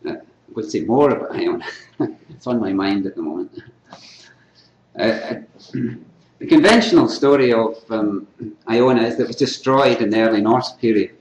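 An older Scottish man giving an unscripted academic lecture at a conference, often clearing his throat and restarting phrases. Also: on the low side, darkish tone, lo-fi sound, a little hiss or hum. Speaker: keynote lecturer in archaeology. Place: lecture hall. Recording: echoey lecture hall.

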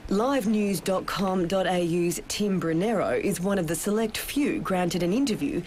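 A woman's voice: lowish voice, moderate pace, a little mellow, a little hiss or hum. Woman narrating a TV news feature. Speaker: reporter. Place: studio voice-over.

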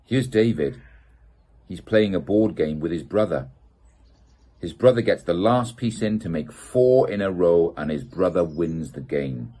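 A middle-aged man reads a children's picture book aloud with a low, husky voice that swoops up and down as he plays to young listeners. He takes his time over each phrase, playful and expressive. He sits outdoors in a garden gazebo, a camera at desk distance. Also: a little slow. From United Kingdom. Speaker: storyteller reading a children's book.

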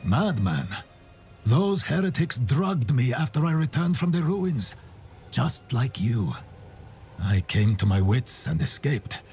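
A man's voice: mid-range voice, very animated, slow, darkish tone. A man playing a grim, terse wandering warrior in a dramatic video game cutscene. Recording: music behind the voice.

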